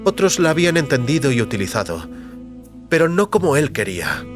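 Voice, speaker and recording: Un hombre narra con guion un relato dramático de ciencia ficción. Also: male voice, medium pitch. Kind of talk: scripted dramatic story narration.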